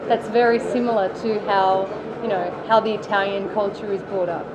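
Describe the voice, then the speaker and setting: A woman with an Australian accent, an actress answering interview questions in a relaxed, chatty way with lots of fillers and restarts. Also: medium pitch, normal speed. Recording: loud background noise.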